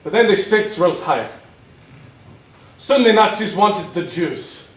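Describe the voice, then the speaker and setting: An actor playing an angry, bitter prisoner in a stage play, with an intense, dramatic delivery. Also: male voice, high-pitched, expressive, measured pace. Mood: bitter remembrance. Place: theatre stage, recorded from a distance. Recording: echoey stage, distant microphone.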